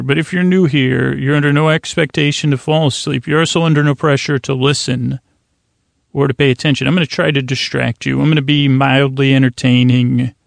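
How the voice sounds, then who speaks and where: A man with a creaky voice hosting a sleep podcast, rambling gently and haltingly through a soothing, meandering bedtime monologue. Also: mid-range voice, no room sound. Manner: meandering, halting, repeats and trails off into tangents. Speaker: podcast host. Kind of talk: rambling bedtime monologue to help listeners fall asleep.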